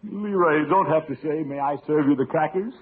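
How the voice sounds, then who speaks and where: An actor playing a pompous, exasperated middle-aged uncle in a blustery, grumbling 1940s radio comedy style. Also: male voice, on the high side, a little fast, natural voice. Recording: noisy, tinny old radio recording.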